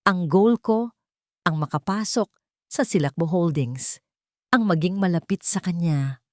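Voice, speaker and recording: Female narrator doing solemn grieving young woman in an audiobook, polite, holding it in, lowish voice, dry sound. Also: darkish tone, no room sound. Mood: resolute grief. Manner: polite, solemn, with restrained emotion. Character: grieving young woman seeking justice for her father.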